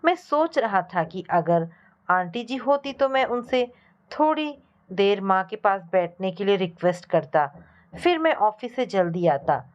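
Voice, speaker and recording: A woman's voice: medium pitch, big pitch swings, measured pace, lo-fi sound. A woman narrator voicing a polite, earnest young working man with formal courtesy in a family story. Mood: worried.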